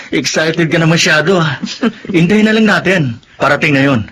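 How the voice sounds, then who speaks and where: A man's voice: fairly high pitch, moderate pace, fairly bright tone, a little hiss or hum, natural voice. An actor playing a friendly, generous young man in a Filipino radio drama, casual and easygoing. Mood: cheerful.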